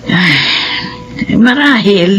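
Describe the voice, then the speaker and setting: A woman playing a gentle, pious motherly figure in a Filipino melodrama, soothing and emotional. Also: mid-range voice, expressive, drawn-out words, slight background noise. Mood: consoling, earnest. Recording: dull lo-fi sound with music behind.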